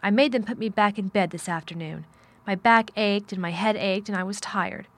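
A woman's voice: medium pitch, moderate pace, a bit bright, no echo, natural voice. A woman voicing a spoiled, imperious, self-pitying sickly boy in an audiobook, with petulant, sneering speech. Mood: sulky. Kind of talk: audiobook character dialogue.

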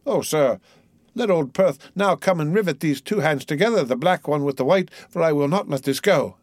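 A man's voice: fairly high pitch, animated, normal speed, no echo, studio quality, voice pushed up, lightly acted. A man voicing a half-mad, frightened young cabin boy in an audiobook, with a singsong, rambling manner. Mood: tender wonder.

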